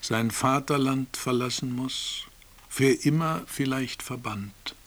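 A male actor playing a grave narrator who recites a convict's lament in measured, declamatory verse for radio drama. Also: mid-range voice, fairly bright tone.

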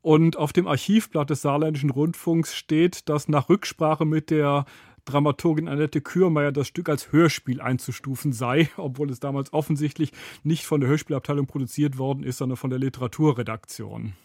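A man's voice: on the high side, fairly even intonation, moderate pace, darkish tone, dry recording. A man speaking as a guest critic in a radio studio interview, explaining in an expert, conversational way. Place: dry broadcast studio. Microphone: close studio microphone.

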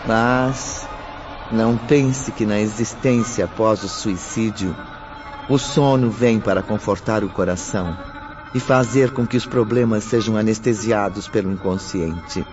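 A male narrator tells a radio drama slowly and solemnly. His voice sits at a middle pitch over clear background music. The recording sounds dull. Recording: dull audio with music underneath.

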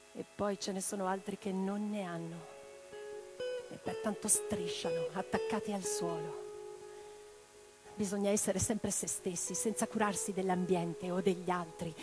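Impassioned revolutionary woman played by an actress, theatrical declamation, intense, lowish voice, plucked guitar behind, radio drama. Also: lightly acted. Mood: subdued, wounded. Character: impassioned revolutionary woman orator and letter-writer. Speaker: stage actress in a radio play. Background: plucked guitar music.

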